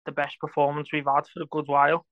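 Flat-voiced man on a dull lo-fi line. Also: fairly high pitch, quick pace, no echo. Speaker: podcast guest. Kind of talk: casual chat. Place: at home.

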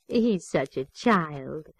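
An actress playing a fretful, lonely wealthy middle-aged widow in a radio drama, hesitant and theatrical. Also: female voice, on the low side, slightly rough, exaggerated voice. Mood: coy, eager. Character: fretful, lonely wealthy 45-year-old widow, trusting and fluttery. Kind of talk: old-time radio drama acting.

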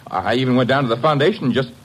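An actor playing a defensive, hot-headed young man in love, halting and stammering in a classic radio drama. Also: mid-range voice, lightly acted, rough recording. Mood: defensive, agitated.

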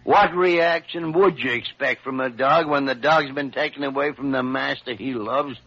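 An actor playing a gruff, sarcastic older senior doctor in an old radio drama. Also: male voice, medium pitch, moderate pace, fairly bright tone. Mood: irritable. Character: crusty, sharp-tongued older senior doctor, male. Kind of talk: 1940s radio drama acting. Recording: dull old radio recording.

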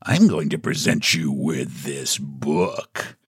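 A man's voice: a bass voice, animated, slow, breathy edge, no room sound, clean studio sound, voice pushed down, exaggerated voice. A man voicing a short, fat, shabby book agent in a storybook, sly and mock-polite with a mysterious, pompous manner. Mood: slyly courteous. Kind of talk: storybook character voice.

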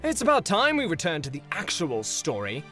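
A man's voice: fairly high pitch, wildly swooping pitch, brisk, pushed and bright, soft background music, heavily acted. Man acting a wildly swooping, petulant narrator. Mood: indignant. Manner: indignant, theatrical. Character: proud, stubborn, petulant co-narrator of an audio drama. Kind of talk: audio drama bickering.

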